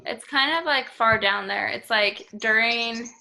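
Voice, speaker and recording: A woman's voice: on the high side, unhurried, vocal fry, sharp tone, low-quality recording. A young American woman speaking casually as a participant in a student discussion. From Idaho, United States. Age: young adult.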